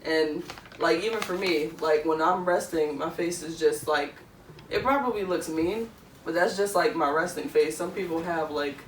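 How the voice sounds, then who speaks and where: A woman's voice: low voice, moderate pace. A woman, co-hosting a podcast in casual chat, rambling with many fillers. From Philadelphia. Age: 20s. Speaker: podcast co-host. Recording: echoey room, mic far off, faint noise.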